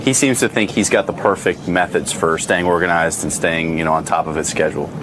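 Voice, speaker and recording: Deep-voiced male interviewer, noisy recording. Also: fairly even intonation, fairly quick. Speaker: interviewer, reporter. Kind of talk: informal interview questioning.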